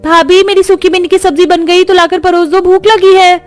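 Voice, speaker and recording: A woman's voice: very high-pitched, little pitch movement, brisk, faint music behind. A woman voicing a hungry, demanding young relative in a cartoon moral story. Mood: eager, demanding.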